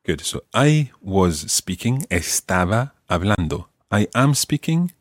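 Lively, low-voiced male teacher. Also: slow, no echo, clean studio sound. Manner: patient, encouraging, lively intonation. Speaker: teacher and podcast host. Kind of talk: explaining grammar in a language lesson.

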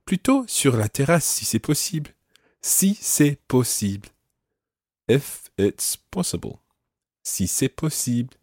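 A man teaching French, patiently explaining and repeating phrases slowly. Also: mid-range voice, sing-song, darkish tone, no echo, studio quality. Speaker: language teacher.

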